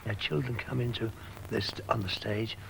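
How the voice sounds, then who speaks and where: Older male performer, gravelly, warm reminiscing interviewee. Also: fairly low pitch, steady tone, moderate pace, noisy background. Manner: fond, reminiscing, peppered with "you know". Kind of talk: reflective interview.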